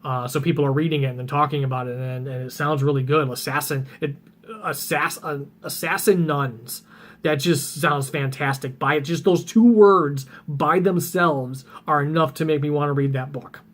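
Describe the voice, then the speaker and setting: A man chatting casually about books he plans to read, rambling with lots of ums and restarts, his voice getting rough and scratchy. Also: on the high side, steady tone, normal speed, a bit bright. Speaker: book vlogger.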